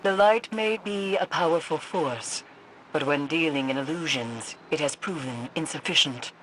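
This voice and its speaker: A woman playing a grave, cryptic seer in a video game, speaking solemnly and deliberately with an ominous manner. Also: sits low, fairly bright tone, slight background noise, poor audio. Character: grave, cryptic female seer of dark magic. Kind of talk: video game character acting.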